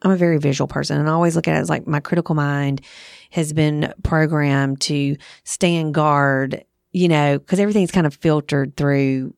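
A woman's voice: low voice, steady tone, normal speed, creaky, darkish tone, clear, resonant tone, no room sound, studio quality. A woman in her thirties or forties hosting a podcast in a casual, frank way, thinking aloud as she goes. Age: 30s to 40s. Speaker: podcast host. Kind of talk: casual conversational podcast chat.

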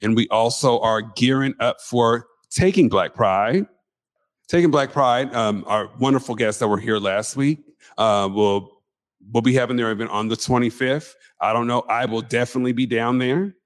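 Guy hosting a chat show, animated, dry studio sound, mid pitch. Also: normal speed, no room sound. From Pacific Northwest. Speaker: host. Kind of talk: casual chat.

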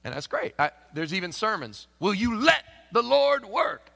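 Kentucky pastor with a bright, emphatic voice. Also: male voice, high voice, very animated, normal speed, creaky. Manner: swings from loud emphatic proclamation to soft conversational asides. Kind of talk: sermon. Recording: long hall reverb.

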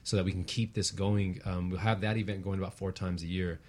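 Lowish-voiced man, guest on a talk show. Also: flat delivery, a little fast, a little mellow. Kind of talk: casual explanatory talk.

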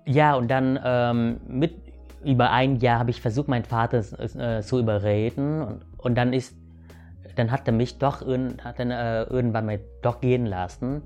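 Halting man. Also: mid-range voice, steady tone, a little mellow, dull audio, faint music behind. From Vietnam. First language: Vietnamese. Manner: halting, many restarts and fillers, asks "weißt du". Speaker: guest. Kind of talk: reflective personal storytelling.

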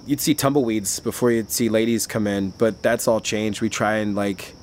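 A man's voice: lowish voice, steady tone, moderate pace, slight background noise. A man who works at a bar, talking in casual chat, rambling and chatty with frequent restarts and filler words. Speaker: bar worker.

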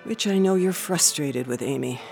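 A woman acting in a radio drama as a concerned, compassionate society aunt, earnest and expressive in her delivery. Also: low voice, normal speed, vocal fry, soft background music. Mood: earnest, soothing.

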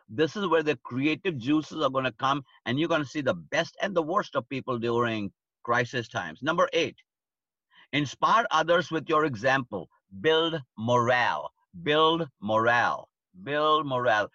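A man teaching leadership over a livestream, hammering his points home by saying them again and again. His voice is bright and forceful. The sound is dry and slightly dull. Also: mid-range voice, no echo. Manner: emphatic, repeats key phrases for stress. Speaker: leadership speaker.

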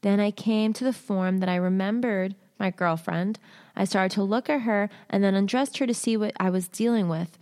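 A woman hosting a show, reading a listener's submitted story aloud in short halting phrases, then chatting candidly with casual slang. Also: mid-range voice, a little mellow, clean tone. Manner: candid, uses swearing and slang. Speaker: host reading listener stories.

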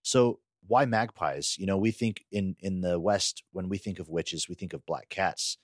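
A man's voice: sits low, unhurried, no room sound, clean studio sound. A man chatting casually as a podcast co-host. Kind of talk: casual chat.

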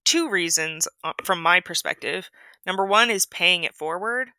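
A woman's voice: on the low side, normal speed, bright, forceful tone, dry recording. A woman who co-runs a coaching site, speaking on an interview in an earnest, self-deprecating way. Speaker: coaching site co-founder guest. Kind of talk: casual interview chat.

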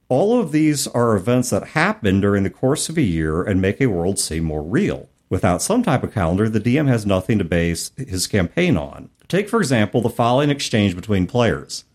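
A man co-hosting a podcast in a casual, explanatory way, animated and expressive as he talks and reads aloud. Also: lowish voice. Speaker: podcast co-host. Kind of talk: casual chat about tabletop gaming, reading passages aloud.

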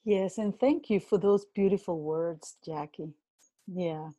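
A woman with a Venezuelan accent, speaking English as her second language, talks slowly and thoughtfully as a guest coach on a podcast. Her voice is low-pitched, mellow and a little husky. The sound is dry and close. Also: dry recording. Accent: Venezuelan Spanish. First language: Spanish. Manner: slow, reflective, with many fillers and repeats. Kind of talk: casual interview conversation.

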